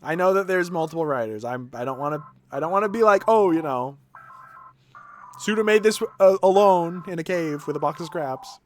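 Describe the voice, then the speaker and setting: A man's voice: a little high, animated, normal speed, slight background noise. A man doing casual, rambling game stream commentary, giving opinions informally and sometimes reading lines aloud.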